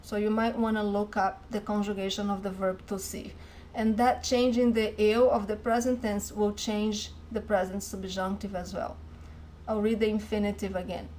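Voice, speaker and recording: A woman teaching Portuguese in a lesson, saying each word and phrase clearly and repeating it, with a Brazilian accent. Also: medium pitch, little pitch movement, a little slow, a little mellow, a little hiss or hum, poor audio.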